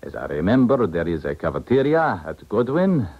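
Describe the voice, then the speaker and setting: Deep, husky, sly scientist. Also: male voice, big pitch swings, full character voice. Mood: cool, calculating. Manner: formal, deliberate, sly. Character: cool, calculating Eastern Bloc scientist. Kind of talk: 1970s radio drama acting. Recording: muffled old radio broadcast.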